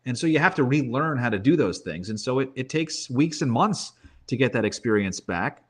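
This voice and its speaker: A man's voice: mid-range voice, expressive, normal speed, fairly bright tone. A man giving casual sports analysis as a guest, thinking aloud and tossing in rhetorical questions.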